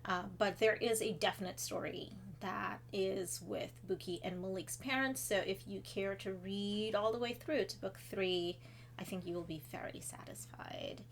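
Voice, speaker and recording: A woman's voice: medium pitch, moderate pace, a little hiss or hum. A woman from Trinidad and Tobago, a children's author, answering kids' questions in a casual chat from her home office, with fillers and friendly asides. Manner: chatty, with fillers and warm asides to kids.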